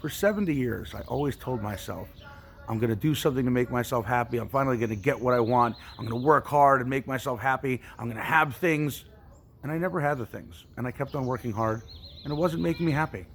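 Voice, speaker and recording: A man's voice: medium pitch, fast talker, gravelly, lightly acted. A man voicing a seventy-year-old small-town lady in a story, plaintive and world-weary in manner. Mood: earnest, content. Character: miserable seventy-year-old lady, droopy, later serenely content.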